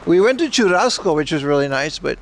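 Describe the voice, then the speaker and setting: A man's voice: a little high, sing-song, a little fast. A man chatting casually on a walking livestream, reading chat aloud and joking with mock outrage. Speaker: IRL streamer. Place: outdoors on city streets.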